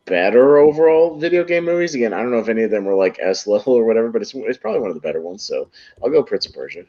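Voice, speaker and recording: A man's voice: medium pitch, expressive, racing, dull audio. A man chatting casually as a panelist in a laid-back, colloquial way. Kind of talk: casual chat.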